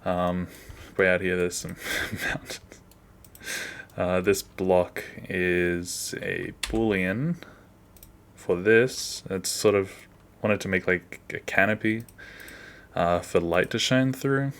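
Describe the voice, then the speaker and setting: A man's voice: deep voice, steady tone, slow pace, fairly bright tone, smooth. A man hosting a casual behind-the-scenes animation commentary in an informal way.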